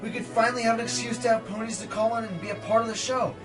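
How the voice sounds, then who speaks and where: A man's voice: very high-pitched, flat delivery, rapid-fire, distant mic, over music. A man playing an enthusiastic, pedantic pony engineer, eager and precise, in a radio play. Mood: keen, technical. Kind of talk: amateur radio play acting.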